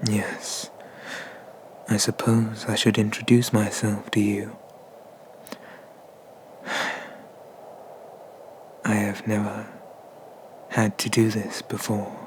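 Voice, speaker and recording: A man voicing an ancient, haughty and menacing dragon god of the sea in a breathy, pompous whisper for an ASMR roleplay. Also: lowish voice, completely flat delivery, background noise. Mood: lofty, proud. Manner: slow, pompous, condescending, with drawn-out pauses.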